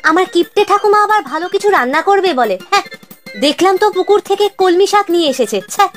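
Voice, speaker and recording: High, whiny little boy. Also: expressive, fast talker, a bit bright, soft background music. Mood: sulky. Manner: wheedling, sulky. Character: whiny, picky, pleading young boy. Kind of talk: Bengali children's cartoon acting.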